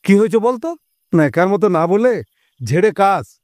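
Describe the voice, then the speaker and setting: A man's voice: high voice, lively intonation, moderate pace, no echo, clean studio sound. An actor playing a boastful, jokey, protective middle-aged father in an audio story, theatrical and teasing. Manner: theatrical, teasing, exclamatory with colloquial banter. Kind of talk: Bengali audio story acting.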